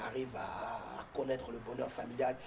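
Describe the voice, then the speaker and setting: A man from southern Congo-Brazzaville, with Mbembe as his first language, speaks in a declamatory, preacherly way, pausing on hesitant fillers. His voice is mellow and sits at an average pitch. A faint noise hangs behind it. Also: flat delivery, gravelly. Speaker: pastor and guest. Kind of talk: interview answers turning to a prayer.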